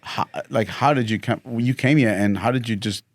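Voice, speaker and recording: A man hosting an interview, asking halting questions with many restarts and affirming his guest. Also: mid-range voice, no echo, studio quality. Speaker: podcast host.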